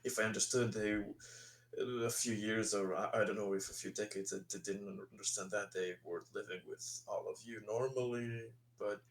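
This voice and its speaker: A man's voice: lowish voice, steady tone, moderate pace. A man playing a tabletop roleplaying game, asking questions casually in hesitant, piecemeal phrases. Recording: echoey, roomy microphone sound.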